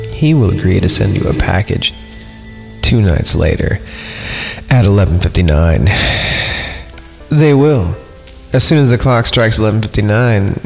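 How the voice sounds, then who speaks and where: Male horror podcast host, low and unhurried, irreverent reader with crude joking asides, drenched in reverb over music. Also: creaky. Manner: sweary, goofy, breaks off to ad-lib and mock the text. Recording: long reverb, loud music behind. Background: eerie film score music.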